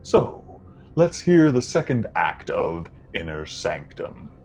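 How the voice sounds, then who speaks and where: An actor playing a ghoulish, sardonic host of a 1940s radio horror show, with mock-sinister, drawn-out phrasing. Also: male voice, low-pitched, big pitch swings, creaky, a little mellow, exaggerated voice. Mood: gleefully macabre. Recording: muffled vintage radio, faint music.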